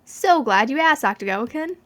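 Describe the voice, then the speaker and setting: A smirking male detective , put on by a woman, chirps along in a raised, lively voice. He teases in a sing-song way, a playful troublemaker from an audio fan story. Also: high voice, fairly quick, pitched above the performer's own voice. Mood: chirpy.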